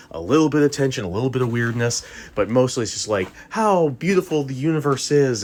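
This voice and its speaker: A man gives rambling, informal commentary like a fan talking to followers. He is jokey and digressive, with loose, sweary phrasing. Faint background noise sits under his voice. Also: fairly low pitch. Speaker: host of a fan story guide. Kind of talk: casual rambling video commentary on sci-fi stories.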